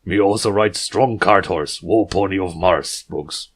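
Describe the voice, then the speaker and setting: Man putting on a gruff, terse muscular stallion. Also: low-pitched, unhurried. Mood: stiff, formal. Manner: terse caveman-like broken speech. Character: gruff, terse muscular jungle stallion, broken-English author.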